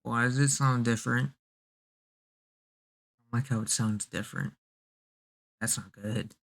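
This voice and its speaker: A man giving a casual live reaction as a streamer, halting and scared, with informal slang and swearing. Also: mid-range voice, fairly even intonation, gravelly. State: scared, on edge. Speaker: streamer reacting to a horror video.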